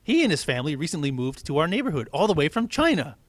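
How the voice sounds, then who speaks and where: A man voicing an overbearing, chirpy woman schoolteacher in a storybook, loud and patronising. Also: a little high, very animated, quick pace, dry recording, voice pushed up. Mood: gushing, patronising.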